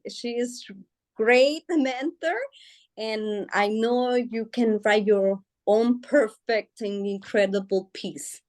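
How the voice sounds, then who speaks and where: A woman's voice: on the high side, takes it slowly, darkish tone. A woman whose first language is Spanish, talking as an author guest in a casual interview, hesitant with many fillers and restarts, often laughing as she speaks. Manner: emotional, laughs while speaking.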